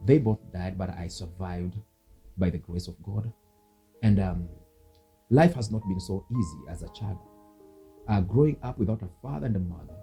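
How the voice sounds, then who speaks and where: This is a Kenyan man with a fairly low, mellow voice. He talks earnestly and devoutly, like a minister sharing his testimony in an interview. Soft music plays faintly behind him. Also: gravelly, low-quality recording. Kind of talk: personal testimony in a conversational interview.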